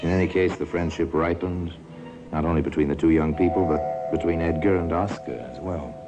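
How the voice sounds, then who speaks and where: A man's voice: sits low, fairly even intonation, a little fast, highs cut off, lightly acted. A man narrating a 1950s radio crime drama in a ruminative, wry, insinuating manner, as a knowing storyteller. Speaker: narrator and host of a crime anthology. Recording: old radio recording with music.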